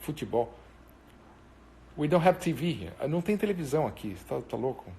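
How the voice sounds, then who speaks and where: A man's voice: a little high, big pitch swings, fast, mellow. A Brazilian man with a Brazilian Portuguese accent and Portuguese as his first language, chatting casually on a live stream and answering viewers. He rambles and hesitates with many fillers.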